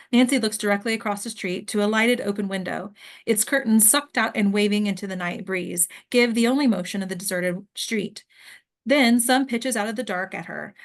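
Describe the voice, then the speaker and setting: A woman narrating the stage directions of a film script at a table read. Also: mid-range voice.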